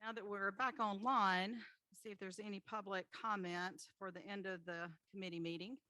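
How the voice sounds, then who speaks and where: A woman's voice: medium pitch, steady tone, moderate pace, sharp tone, dry recording. A woman chairing a city committee meeting, moving through motions and votes in a businesslike way with frequent filler words. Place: city hall meeting chamber.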